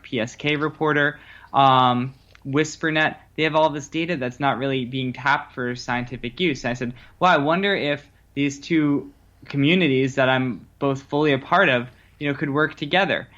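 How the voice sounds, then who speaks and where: A man speaking as a guest researcher in a conversational interview, explaining earnestly and in measured phrases. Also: medium pitch, pushed and bright, lo-fi sound. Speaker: guest researcher and ham radio operator.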